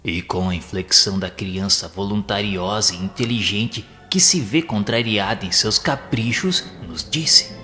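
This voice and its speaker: A man's voice: fairly low pitch, unhurried, few pauses, bright, forceful tone. A man with a Brazilian accent narrating an audio novel in a formal, literary, scripted manner. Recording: long reverb, music behind the voice.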